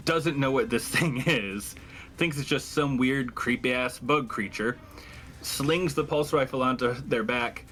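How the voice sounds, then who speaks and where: Male tabletop player, medium pitch, casual and courteous, talks through his actions. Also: pushed and bright. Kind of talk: casual in-game discussion.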